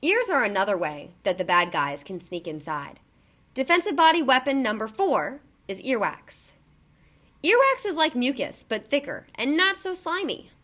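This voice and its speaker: A woman reading a children's picture book aloud in an expressive, emphatic storytelling delivery. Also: a little high.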